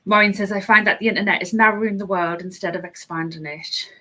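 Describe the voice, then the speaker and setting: A woman's voice: medium pitch, steady tone, fast, pushed and bright. Chatty woman hosting a live podcast. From Scotland. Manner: chatty, laughs often, frequent restarts. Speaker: podcast host. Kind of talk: casual live-stream chat, reading out viewer comments.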